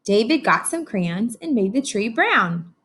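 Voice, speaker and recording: A woman reading a picture book aloud to young children as a teacher does, pausing to explain and ask simple, encouraging questions. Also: fairly high pitch, big pitch swings, a bit bright, slightly rough.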